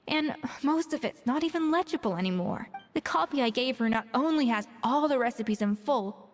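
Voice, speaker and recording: A woman playing a proud, confident master chef who speaks in a measured, self-assured way. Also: sits high. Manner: self-assured, measured, turns wistful when alone. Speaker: head chef. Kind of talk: video game dialogue. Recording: reverberant game audio with faint music.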